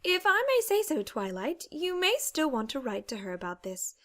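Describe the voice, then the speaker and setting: A woman's voice: high voice, big pitch swings, normal speed, fairly bright tone. A woman voicing a refined, caring, insistent female pony with a genteel, theatrical manner in an audiobook. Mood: politely advising. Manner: genteel, polite, theatrical phrasing. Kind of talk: dramatized audiobook character acting.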